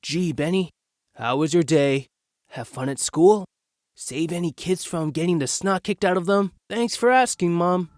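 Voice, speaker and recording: A man's voice: high voice, unhurried, a little mellow, no room sound, clean studio sound. A man playing an exasperated, sarcastic teenage boy with an aggrieved manner in an audio drama. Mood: bitter sarcasm.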